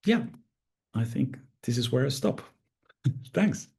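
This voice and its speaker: A man's voice: medium pitch, lively intonation, takes it slowly, gravelly, mellow, breathy edge. A man presenting at an online conference, thoughtful and prone to restarts.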